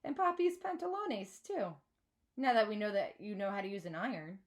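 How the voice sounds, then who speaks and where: A woman's voice: mid-range voice, lively intonation, normal speed, close to an everyday voice. A woman voicing a loving, worried mother in a children's storybook, fussing yet firm and emphatic. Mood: resigned, firm. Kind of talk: children's storybook read-aloud.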